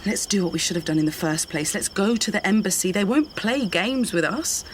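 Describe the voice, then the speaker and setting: A woman's voice: mid-range voice, brisk, natural voice. An actress playing a weary, candid middle-aged British woman in a naturalistic radio drama. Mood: firm, weary. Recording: night insects and outdoor ambience noise.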